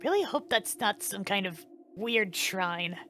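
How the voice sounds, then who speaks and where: A woman voicing a curious, plucky, informal teenage girl in an anime fandub, casual and expressive. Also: medium pitch, a little slow, soft background music. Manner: casual, expressive, talks to herself.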